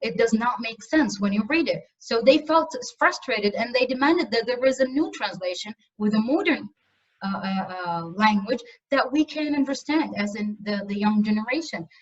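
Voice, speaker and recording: A woman lecturing in English, her first language Arabic, who keeps stopping and restarting her sentences. Her voice sits fairly high. The recording is muffled and she sounds well away from the microphone in a lecture room. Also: expressive, normal speed, far from the mic. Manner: hesitant, many fillers and restarts. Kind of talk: university lecture on translation.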